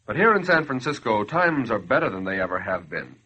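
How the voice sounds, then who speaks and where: A man's voice: fairly low pitch, big pitch swings, fairly quick, bright, forceful tone, slightly rough, exaggerated voice. An actor playing an ordinary male citizen, reluctant and defensive about money, in conversational 1940s radio acting. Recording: dull lo-fi 1940s radio recording.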